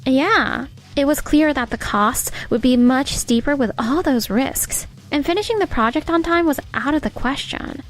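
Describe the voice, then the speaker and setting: A woman voicing a confident young female office colleague, crisp and assured. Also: sits high, moderate pace, hardly any pauses, close to an everyday voice.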